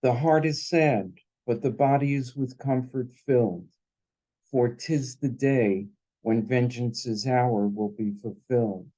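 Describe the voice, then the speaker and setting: A man reading a poem his mother wrote, in a solemn, deliberate manner, after a brief introduction. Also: mid-range voice, dark tone, poor audio. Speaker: son of the poet, introducing and reading. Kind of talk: slow, measured poetry reading.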